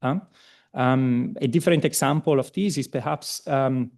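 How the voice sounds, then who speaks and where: An Italian-accented man presents at an academic seminar, his speech full of ums, restarts and relaxed, self-deprecating asides. His voice has a gravelly, creaky edge and sits at a middle pitch. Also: normal speed. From Italy. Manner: hesitant, many ums and restarts. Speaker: seminar speaker.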